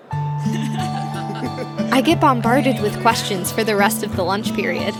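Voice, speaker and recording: A woman playing a friendly, slightly shy new high-school girl from space in a comic fan dub. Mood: reflective, narrating. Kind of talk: comic fan dub acting and first-person narration. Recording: voice over prominent background music.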